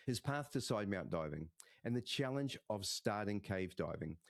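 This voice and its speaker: A man hosting a diving podcast, reading a scripted introduction and then chatting with a guest in a measured, friendly way. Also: fairly low pitch, a little mellow, no echo, clean studio sound. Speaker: podcast host.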